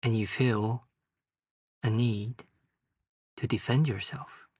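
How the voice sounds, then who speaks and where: A man leading a guided meditation, speaking in short, unhurried phrases with long pauses. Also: medium pitch, steady tone, a little mellow, slightly rough. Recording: distant mic in a roomy, echoing space.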